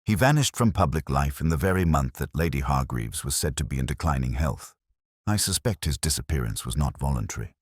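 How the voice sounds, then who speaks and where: Keen detective, played by a male narrator, very deep, animated, formal and precise, storybook mystery. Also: brisk, breathy edge, dry recording, studio quality, exaggerated voice. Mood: brooding, deliberate.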